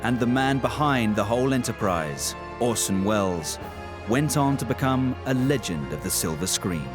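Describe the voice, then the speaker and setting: A man's voice: mid-range voice, expressive, moderate pace. A man reading scripted narration as the narrator of a documentary. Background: prominent music.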